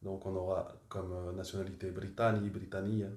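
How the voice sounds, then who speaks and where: A man's voice: low-pitched, little pitch movement, a little mellow. A man teaching a language lesson, talking unscripted and correcting himself often with many fillers. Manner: unscripted, halting with frequent fillers and self-corrections. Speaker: Arabic teacher. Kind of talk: language lesson, thinking aloud. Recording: echoey room.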